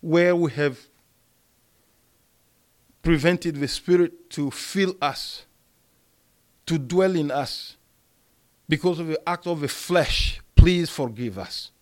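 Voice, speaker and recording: Man, pastor, high voice, strong and emphatic, sermon and prayer, small church. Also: slow pace, pauses often. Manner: emphatic preaching, rising loud on key words.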